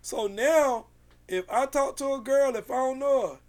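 A man with a raspy voice telling a story in casual, slangy podcast chat, animated and swearing freely. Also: very high voice, normal speed.

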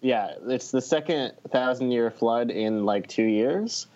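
A man's voice: medium pitch, measured pace, darkish tone. Dull-sounding recording of a wry male podcaster. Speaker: podcast co-host. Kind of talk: casual chat and anecdote. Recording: slightly dull, lo-fi audio.

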